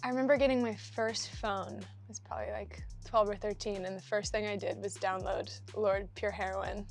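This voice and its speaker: Flat-voiced young woman reminiscing. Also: medium pitch, moderate pace, vocal fry, faint music behind. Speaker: singer-songwriter guest. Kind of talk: casual interview chat.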